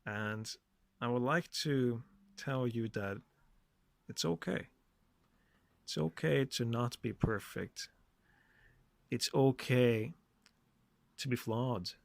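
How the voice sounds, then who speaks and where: Male podcast host, reflective monologue, medium pitch, unhurried and measured, dry recording. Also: gravelly, no room sound. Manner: deliberate, short phrases with repetition.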